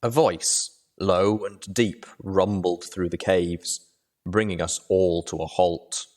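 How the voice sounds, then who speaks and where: A man's voice: deep voice, steady tone, takes it slowly, a little mellow. A man reading scripted adventure fiction as the narrator.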